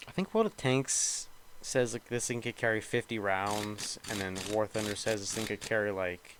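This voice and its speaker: A man's voice: lowish voice, normal speed, a bit bright, slight background noise. A young man with a slightly nasal voice, rambling casually and thinking aloud as he talks over gameplay. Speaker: gaming video creator.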